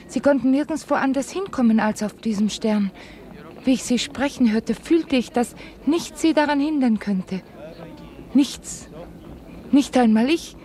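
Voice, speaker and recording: An actress playing a wistful, dreamy and fragile young woman in a German radio drama, musing intimately and haltingly. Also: on the high side, dark tone, a little hiss or hum, close to an everyday voice. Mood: sad, dreamy.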